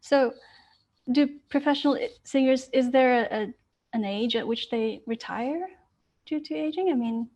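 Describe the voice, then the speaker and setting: A woman's voice: a little high, moderate pace, a little mellow, dull audio. Polite rambling woman choir singer. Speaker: choir singer asking a question. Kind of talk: casual chat.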